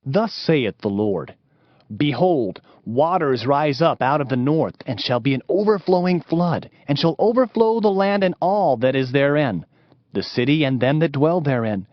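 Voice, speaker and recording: A man's voice: fairly high pitch, lively intonation, moderate pace, no room sound. A man reading scripture as a dramatized audiobook in a solemn, declamatory manner. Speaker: audiobook narrator. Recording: dull lo-fi audio under music. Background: music.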